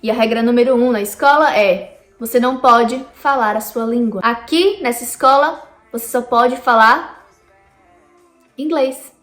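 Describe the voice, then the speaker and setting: A woman's voice: on the high side, a little slow, a bit bright, roomy sound, faint music behind. A Brazilian woman with a Brazilian Portuguese accent, explaining history to her viewers in a chatty vlog. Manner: chatty, addresses viewers directly. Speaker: vlogger. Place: lives in Canada.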